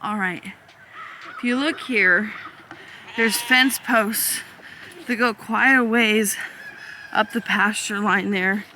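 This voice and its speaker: Slow-talking hoarse homesteading woman. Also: medium pitch, steady tone, bright, forceful tone, slight background noise, rough recording. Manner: chatty, rambling, talks to animals. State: slightly hoarse. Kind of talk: casual vlog chat. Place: outdoors on a farm in freezing cold.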